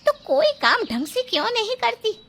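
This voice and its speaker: A woman's voice: very high-pitched, sing-song, normal speed, noisy background, exaggerated voice. A woman playing an earnest, reproachful woman in a dramatized family moral story, questioning as she speaks. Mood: disappointed reproach.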